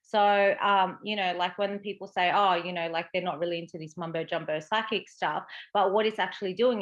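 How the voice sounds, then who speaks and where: A woman's voice: mid-range voice, a bit bright. A woman with an Australian accent talking as an interview guest, chatty and explanatory, often checking in with "right" and "you know". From Sydney, Australia. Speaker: interview guest, psychic medium and coach. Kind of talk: casual interview conversation.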